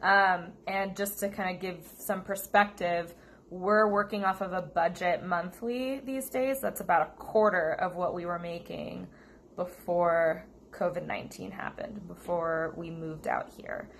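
A woman's voice: lowish voice, measured pace. An American woman talking casually to viewers as a vlog co-host. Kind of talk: casual chat to camera. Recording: steady noticeable background noise.

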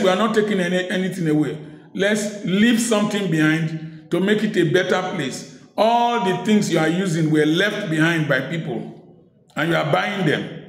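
A middle-aged man from Africa preaching to the camera in an emphatic way, repeating key words and adding sharp humor. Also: a little high, reverberant. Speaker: preacher and speaker. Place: indoor room at home, seated in office chair.

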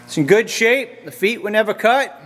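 A man's voice: high voice, expressive, moderate pace, fairly bright tone, in a large hall, faint music behind. A man giving a plain, matter-of-fact demo of a machine in a large indoor machine shop, recorded on a handheld camera. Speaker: machinery dealer. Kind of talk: casual product demo.

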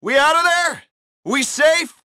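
An actor playing a vain, hyperactive, fitness-obsessed secret agent in a video game, breezy and clipped. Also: male voice, very high voice, lively intonation, takes it slowly, sharp tone, dry recording, studio quality, exaggerated voice. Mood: pumped, smug.

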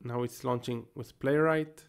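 A man's voice: medium pitch, steady tone, unhurried, vocal fry, clean tone. A man in his thirties with an Israeli accent, a native Hebrew speaker, talking casually through a screen-share tech tutorial and thinking aloud as he works. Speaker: tech YouTuber.

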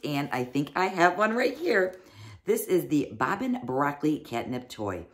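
A middle-aged woman casually unboxing and reviewing products, chatty and fond of saying "little" and "like", seated at a desk in a small cozy room. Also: low voice. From United States. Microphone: fixed camera, seated at desk.

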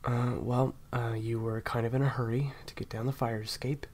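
A man acting in an intimate audio roleplay as a caring, awkward handler who speaks softly and haltingly to an injured partner. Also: medium pitch, flat delivery, slight background noise, close to an everyday voice. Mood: concerned, gentle. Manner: hesitant, halting, soft-spoken. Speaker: voice actor.

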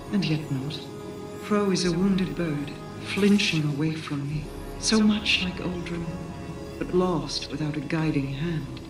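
A woman playing a proud, wounded, vengeful queen in a game, speaking in a solemn, formal, measured way. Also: low voice. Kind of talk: dramatic game monologue. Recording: roomy echo, distant, music behind.

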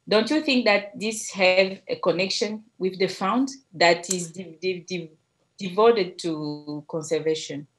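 Congolese woman moderating a conversation. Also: mid-range voice. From eastern DR Congo. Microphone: headset on a video call.

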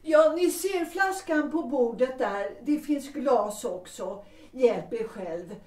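A woman's voice: on the high side, animated, close to an everyday voice. A woman playing a proud, resolute middle-aged widowed mother in a 1930s audio drama, composed, formal and commanding. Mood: cool, formal. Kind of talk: Swedish audio drama acting. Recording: roomy, distant from the mic.

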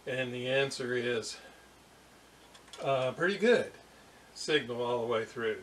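A man with a mid-range voice talks through a hands-on electronics demonstration in an informal way. He goes haltingly, thinking aloud as he works. The camera's microphone picks up a clear room echo and faint background noise. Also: mid-range voice. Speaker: electronics hobbyist demonstrating a radio repair. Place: room in a house.

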